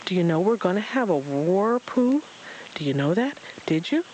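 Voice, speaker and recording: A reader voicing a tiny excitable young kangaroo boy, squeaky and eager. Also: moderate pace, lo-fi sound, slight background noise, lightly acted.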